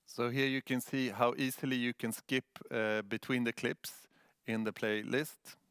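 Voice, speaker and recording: A man's voice: medium pitch, little pitch movement, measured pace, fairly bright tone, smooth, dry recording. A man from Sweden with a Swedish accent giving a prepared presentation as a news editor.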